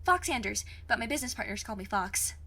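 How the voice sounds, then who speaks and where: A woman's voice: a little high, animated, fast talker, gravelly, pushed and bright, slight background noise, exaggerated voice. A woman playing a plucky, cocky fifteen-year-old girl in an audio drama, self-assured and formally worded. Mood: cocky, insistent.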